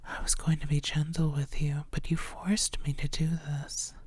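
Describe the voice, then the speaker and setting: A woman playing a possessive, menacing female vampire in an intimate ASMR roleplay, teasing and often slipping into breathy half-whispers. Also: deep voice, little pitch movement, moderate pace, a little mellow, dry recording. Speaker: audio roleplay voice actor.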